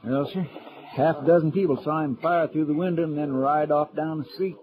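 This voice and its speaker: An American actor playing a loyal, deferential deputy in a 1950s radio Western, speaking in a folksy, plain way. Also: male voice, on the high side, fairly even intonation, fairly quick, close to an everyday voice. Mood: earnest, worried. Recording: dull vintage radio recording, crowd murmur behind.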